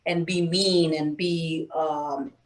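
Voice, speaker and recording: A woman's voice: low voice, slow. Earnest woman from Peru sharing stories. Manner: earnest, spiritual, animated, acts out quoted voices. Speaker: guest. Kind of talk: candid conversational storytelling.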